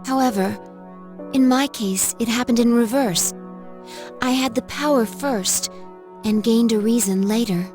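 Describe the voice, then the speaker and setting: A woman acts a serious, composed young lady from an anime game. Her voice is slightly high, the phrasing formal and measured, with music underneath. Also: a little mellow, lightly acted. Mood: composed, reflective.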